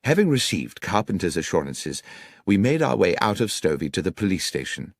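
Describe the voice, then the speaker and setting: A man reading a story aloud as an audiobook narrator, measured and expressive in a dramatic scripted style. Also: low-pitched, dry recording, studio quality.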